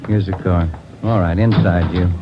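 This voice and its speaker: A man's voice: sits low, steady tone, normal speed, loud music behind the voice, natural voice. An American man playing a plainspoken, weary police detective, dry and matter-of-fact, in a 1940s radio crime drama. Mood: firm. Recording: muffled old radio recording.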